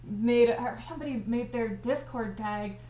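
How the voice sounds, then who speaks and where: A woman with a fairly high voice chats casually and excitably, giggling as she goes. The sound is roomy and echoey, like a group voice chat. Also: measured pace, a little hiss or hum. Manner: giggly, excitable, frequently breaking into laughter. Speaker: audio drama creator and cast party host. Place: indoors on a group livestream call.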